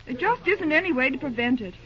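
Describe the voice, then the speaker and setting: A woman's voice: high-pitched, lively intonation, fast talker. An actress playing an earnest, spirited young American railroad woman in old-time radio drama style. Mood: worried. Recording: dull, noisy vintage radio recording.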